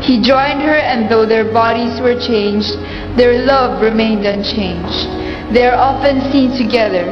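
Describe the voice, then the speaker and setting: A woman's voice: on the high side, a little slow, close to an everyday voice. A woman narrating a myth in a scripted storybook style, as the narrator of a radio play. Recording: muffled, echoing, music behind.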